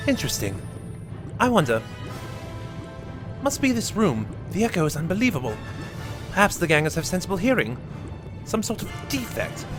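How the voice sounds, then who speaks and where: A man's voice: sits high, very animated, over music, pitched above the performer's own voice. A man voicing a flustered male time traveller who talks hurriedly and muses aloud. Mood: musing. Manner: quick, musing, breathless.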